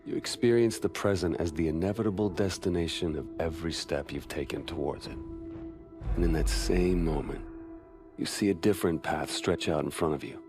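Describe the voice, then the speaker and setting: An actor playing a grave, reflective soldier, giving solemn and deliberate dramatic narration. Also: male voice, low voice, over music, lightly acted.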